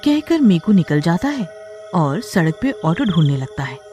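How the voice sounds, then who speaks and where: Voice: medium pitch, big pitch swings, moderate pace, mellow. A narrator reading a scripted horror story for a cartoon. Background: background music.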